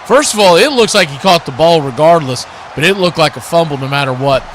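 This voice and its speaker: A man does live football play-by-play. His voice sits high and has a bright, forceful edge. Faint noise runs behind him. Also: animated, brisk. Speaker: play-by-play sports commentator.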